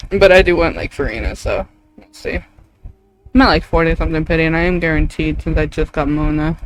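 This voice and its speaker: A woman's voice: sits low, steady tone, fairly quick, soft background music, poor audio. Woman game streamer: casual, giggly, rambling chat, loose and unscripted. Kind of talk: casual chat while gaming.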